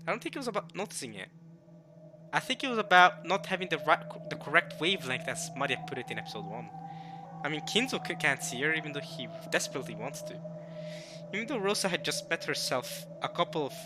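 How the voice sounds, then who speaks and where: A man who speaks English as a second language, giving casual, rambling let's-play commentary and reading game text aloud, with excitable bursts. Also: fairly high pitch, quick pace. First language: not English. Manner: rambling, repeats and rephrases himself, excitable bursts. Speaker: streamer playing and reading a visual novel. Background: game music.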